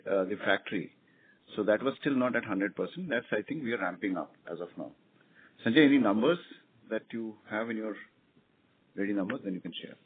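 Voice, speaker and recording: A man with an Indian accent, speaking unscripted as a company executive answering questions on an earnings conference call. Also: on the low side. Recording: tinny phone conference line, clear noise.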